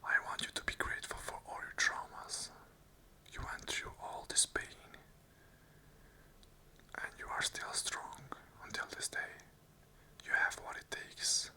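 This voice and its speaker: Bright, sharp whisper, fully unvoiced. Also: a little slow, low-quality recording. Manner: whispers the whole way, soft and unvoiced; earnest, repeating affirmations in short phrases. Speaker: ASMR channel host. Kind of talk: unscripted whispered ASMR monologue.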